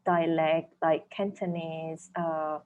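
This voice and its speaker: Brief: Malaysian-accented woman, Chinese first language, slightly low voice, measured and reflective interview guest. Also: level tone, narrow, tinny audio, slight background noise. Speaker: author and dentist guest. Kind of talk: interview answers.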